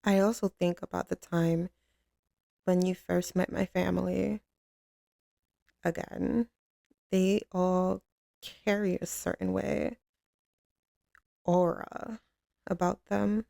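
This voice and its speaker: Casting: woman as a commanding female mafia boss, slow drawl, dark velvety tone, tough but soft on her man, intimate ASMR roleplay. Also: fairly low pitch, level tone, gravelly, no echo, studio quality, exaggerated voice. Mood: affectionate, reminiscing. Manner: drawling, teasing, streetwise, with pet names.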